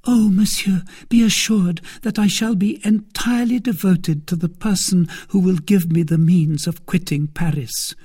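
A man's voice: high-pitched, steady tone, measured pace, few pauses, dark tone, breathy edge, voice pushed up. A man voicing a frightened, devoted young maid with an emotional, pleading manner in an audiobook story. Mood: anxious. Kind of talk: dramatised audiobook reading.

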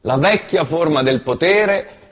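A male stage actor delivering a theatrical monologue, impassioned and shifting from hushed tones to loud bursts. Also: a little high, expressive, unhurried, breathy edge, in a large hall. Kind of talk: theatrical monologue reciting an essay.

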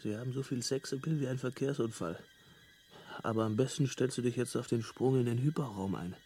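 An actor playing a wry, unflappable space traveller in a radio drama, explaining things in a laconic deadpan. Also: male voice, mid-range voice, fairly quick, lightly acted. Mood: calm, wry. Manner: laconic, matter-of-fact, deadpan.

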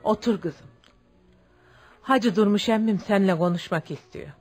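A woman acts out an older village wife for radio theatre. She sounds practical and concerned, persuading in a motherly way at an average pitch, with faint music behind. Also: moderate pace, a little mellow, close to an everyday voice. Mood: gently persuasive.

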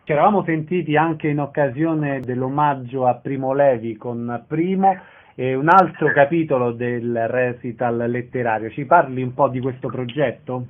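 A man's voice: medium pitch, normal speed, narrow, tinny audio. A man hosting a radio programme, putting questions to guests and announcing shows. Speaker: radio host interviewer.

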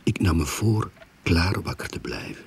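An actor playing the first-person narrator of a suspense radio drama, telling it in a hushed, tense way. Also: male voice, low voice, lively intonation, moderate pace, gravelly. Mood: suspenseful. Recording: faint background noise.

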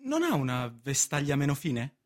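An actor playing a smug, slyly polite arresting guard in a theatrical radio drama, condescending and insinuating. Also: male voice, medium pitch, huge pitch swings, moderate pace.

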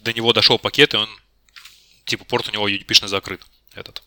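Male candidate, sharp forceful tone, lowish pitch, dry close sound, tentative self-correcting explanations. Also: fairly even intonation, fast, no room sound. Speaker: job candidate in a technical interview. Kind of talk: spontaneous technical explanation.

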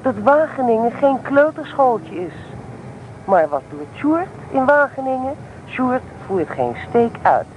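An actress playing a headstrong, teasing young student in a radio play, blunt and self-assured in naturalistic dialogue. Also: female voice, high-pitched, big pitch swings, a little slow, darkish tone. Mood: irritated. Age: young adult. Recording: noisy old radio play recording.

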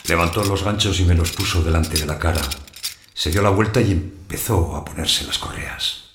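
An actor playing a lonely abandoned householder, narrating his story in a measured, plain way for an audio drama. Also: sits low, close to an everyday voice. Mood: subdued, reflective. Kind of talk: first-person literary narration in audio fiction. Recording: roomy echo, clear background noise.

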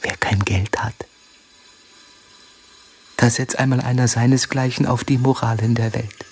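An actor in a radio drama playing a humble, downtrodden poor soldier, submissive and halting in his plain speech. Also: medium pitch, fairly even intonation, darkish tone, a little hiss or hum, close to an everyday voice. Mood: humble, bitter. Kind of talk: theatrical radio drama acting.

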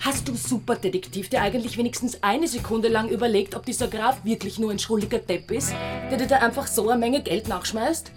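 Woman playing a fed up austrian girlfriend, highish voice, sarcastic telling-off, faint music. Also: brisk, lightly acted. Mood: angry, hurt. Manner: sarcastic, scolding, emphatic. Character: exasperated, sarcastic girlfriend of a would-be detective.

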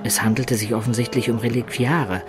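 An actor playing an adventurer who recounts his tale in measured, descriptive narration for a German radio drama. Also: mid-range voice, steady tone, close to an everyday voice. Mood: suspenseful. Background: music.

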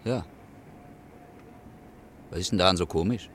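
Brooding male stranger, deep, curt, prickly, suspicious, radio drama, faint noise. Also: measured pace, fairly bright tone. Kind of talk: German radio play acting.

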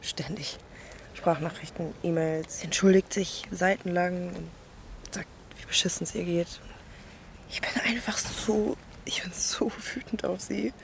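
A woman's voice: fairly low pitch, steady tone, measured pace, creaky, in a whisper. An actress playing a young queer woman podcaster, hurt, introspective and tender, in a naturalistic audio drama with intimate inner monologue. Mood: hurt, longing.

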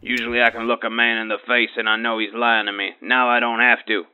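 A man's voice: medium pitch, little pitch movement, fast talker, smooth, close to an everyday voice. A man playing a bigoted, blustering middle-aged radio caller who rants and threatens, heard over a dull lo-fi line. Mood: threatening. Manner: ranting, threatening, pompous.

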